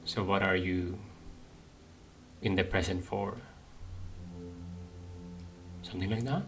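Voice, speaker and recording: Low voiced guy thinking out loud on a game stream, casual, music playing behind. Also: fairly even intonation, slow. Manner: musing, tentative, thinking aloud. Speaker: gaming streamer. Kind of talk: casual let's play commentary.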